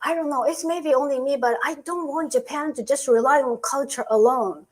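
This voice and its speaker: Woman panelist, fairly high voice, tentative phrasing. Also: normal speed. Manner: tentative, searching for words. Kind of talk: discussion panel.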